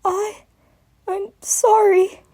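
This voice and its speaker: A woman's voice: very high-pitched, little pitch movement, extremely slow, dark tone, voice pushed up, full character voice. A woman voicing a guilt-ridden baby boy dragon in a story reading, tearful and pleading in a hesitant whimper. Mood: tearful, apologetic. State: crying, sobbing.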